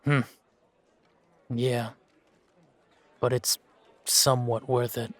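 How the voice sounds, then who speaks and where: A man playing a world-weary, laconic monster-slaying mercenary in a fantasy roleplay audio drama, speaking in a measured, musing way with pauses. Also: medium pitch, little pitch movement. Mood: mildly grumbling. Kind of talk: fan-made roleplay audio drama, unhurried monologue to a companion.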